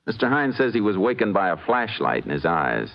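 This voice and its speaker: An actor playing a calm, methodical lawman with a measured, probing manner in a vintage radio drama. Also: lowish voice, rough recording. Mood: calm reasoning. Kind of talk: 1950s radio acting. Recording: hissy vintage radio recording.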